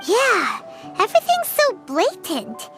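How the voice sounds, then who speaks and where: A woman voicing a tiny, excitable floating female companion who chatters eagerly in a game. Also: extremely high pitch, takes it slowly, heavily acted. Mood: curious. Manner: refers to herself in third person, eager. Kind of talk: game voice acting. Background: music.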